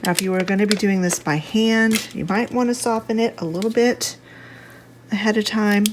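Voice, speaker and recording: A woman with a slightly stuffy, congested voice, giving a casual, chatty home cooking demonstration at an unhurried, thinking-aloud gait. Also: mid-range voice, fairly even intonation, slight background noise. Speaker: home cook, video host. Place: home kitchen.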